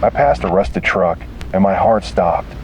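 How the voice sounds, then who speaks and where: A man's voice: deep voice, expressive, a little slow, creaky, a little mellow, a little hiss or hum, rough recording. A man reading a scripted first-person horror story in a grave, dramatic way.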